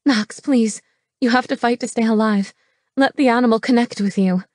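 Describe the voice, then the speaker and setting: A woman's voice: fairly high pitch, moderate pace, a little mellow, no echo, studio quality, natural voice. A woman voicing a desperate, headstrong young woman in an audiobook, urgent and emotional. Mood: desperate pleading.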